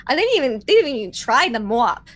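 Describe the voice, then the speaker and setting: A woman's voice: sits high, big pitch swings, brisk. A woman playing a tabletop roleplaying game, speaking casual dialogue in a fussy, chatty way. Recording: faint background noise.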